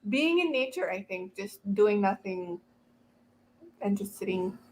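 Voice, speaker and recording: Woman panelist with a medium-pitched voice. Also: expressive, a little slow, darkish tone, a little hiss or hum, low-quality recording. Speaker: learner, panelist. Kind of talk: reflective panel talk.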